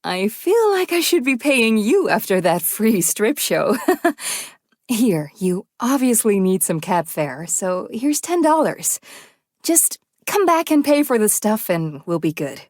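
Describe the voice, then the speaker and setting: A woman's voice: medium pitch, very animated, takes it slowly, a little mellow, no echo, studio quality. A woman voicing a flustered, kindly and flirty shop clerk in a visual novel, coy and hesitant. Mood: amused, flirty. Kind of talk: visual novel character acting.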